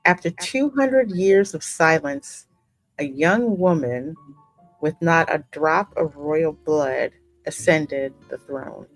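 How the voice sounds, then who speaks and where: Low-voiced woman narrating a game story. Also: no room sound, poor audio. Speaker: gaming host voicing the player character. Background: musical game soundtrack.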